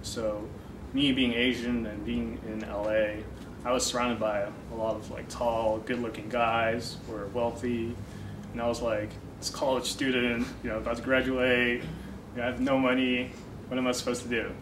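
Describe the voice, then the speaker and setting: A young man who grew up in the Netherlands, a dating coach, explaining ideas in a relaxed interview with many fillers. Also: medium pitch, moderate pace. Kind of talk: casual interview talk. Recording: echoey room from far off, noisy.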